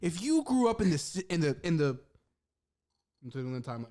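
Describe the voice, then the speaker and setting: Loud ranting young man, high lively voice. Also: a little fast. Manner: loud, blunt ranting with swearing. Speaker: podcast co-host. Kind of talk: casual chat.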